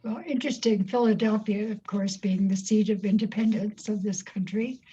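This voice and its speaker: Female interview host, conversational delivery, soft mellow timbre with some huskiness, slightly lo-fi sound. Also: mid-range voice, fairly even intonation, moderate pace, husky.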